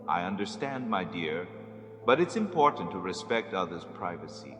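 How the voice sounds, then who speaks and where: A man's voice: low-pitched, big pitch swings, moderate pace. An actor playing the fatherly master of a haunted estate, a courteous and proper host in an audio drama. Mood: gently scolding. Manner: courteous, proper, gently scolding. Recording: reverberant hall with faint music.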